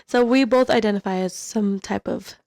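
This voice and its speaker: A woman's voice: medium pitch, normal speed, creaky, darkish tone, no room sound, studio quality. A young woman co-hosting a podcast, chatting casually with a giggly, rambling manner. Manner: giggly, rambling, self-deprecating. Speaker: podcast co-host. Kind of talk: casual chat.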